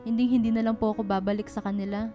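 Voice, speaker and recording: A woman's voice: medium pitch, flat delivery, rapid-fire, dark tone, faint music behind, natural voice. A woman playing an honest, hardworking laundrywoman in a radio drama, earnest and polite. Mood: humble, grateful. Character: honest, hardworking, humble laundrywoman and single mother. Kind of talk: Filipino radio drama acting.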